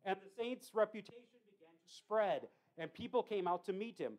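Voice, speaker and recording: A deacon preaches in a small icon-lined church, his voice sitting fairly high for a man. He tells the story earnestly, slipping in small personal asides and correcting himself as he goes. The sound is picked up by a camera at the back of the room. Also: normal speed, darkish tone, poor audio. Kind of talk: homily retelling a saint's life.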